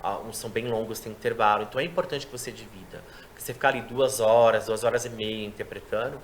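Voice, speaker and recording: A man who works as a sign language interpreter, chatting in an interview and explaining his work in a lively way. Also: lowish voice, a little hiss or hum. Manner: quick, explanatory, animated delivery. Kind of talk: casual interview chat.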